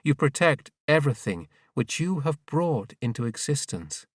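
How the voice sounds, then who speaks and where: A man's voice: medium pitch, sing-song, moderate pace, dry recording, studio quality, recorded from a distance. A man voicing a creator god who prays in awe to a goddess, solemn and incantatory, in an audiobook. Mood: solemn praise.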